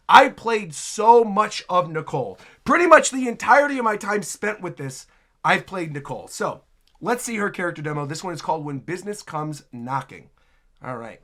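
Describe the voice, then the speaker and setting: A man giving casual reaction commentary, excitable and often repeating himself for emphasis. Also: sits high. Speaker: reaction video host.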